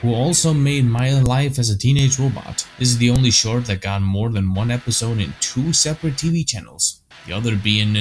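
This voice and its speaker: A man's voice: medium pitch, fairly quick, few pauses, a little hiss or hum, rough recording. A young man whose first language is Icelandic, reading scripted commentary in a blunt, opinionated way with casual swearing. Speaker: cartoon retrospective host.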